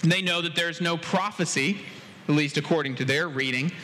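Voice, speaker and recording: A man from America preaching a sermon as pastor, telling stories with quoted dialogue and a pace that speeds up and slows down. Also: a little high, pushed and bright, a little hiss or hum. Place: church sanctuary. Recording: long hall reverb.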